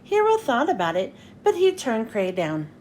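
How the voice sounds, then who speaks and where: High-voiced, animated woman reading a storybook. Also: normal speed, a little hiss or hum. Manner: expressive, story-time delivery. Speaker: children's read-aloud host.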